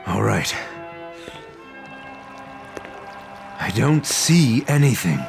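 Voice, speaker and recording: An actor playing a weary, troubled, brooding adventurer in a monster radio drama, with a low, gravelly voice. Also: male voice, very animated, unhurried, exaggerated voice. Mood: weary, tense. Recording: loud music bed behind voice.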